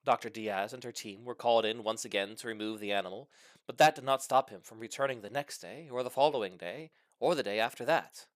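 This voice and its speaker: A man's voice: mid-range voice, fairly quick, no room sound. A man reading a comic short story aloud with mock-serious, dramatic delivery. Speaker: story reader.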